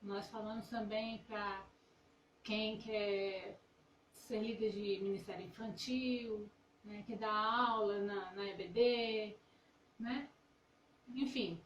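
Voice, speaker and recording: A woman's voice: medium pitch, fairly even intonation, slow pace. A woman co-hosting a ministry live stream in casual, conversational talk. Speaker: ministry co-host. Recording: echoey, distant mic with background noise.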